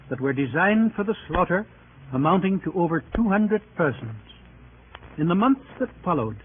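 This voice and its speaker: A man narrating an old-time radio drama from a script in a formal, dramatic manner. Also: a little high. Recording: tinny, noisy old radio recording.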